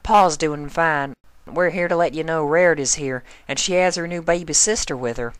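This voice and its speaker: A man's voice: fairly high pitch, normal speed, fairly bright tone, smooth, no echo, lightly acted. A man voicing an earnest, plain-spoken young female farm pony in a cartoon fan story, with an urgent manner.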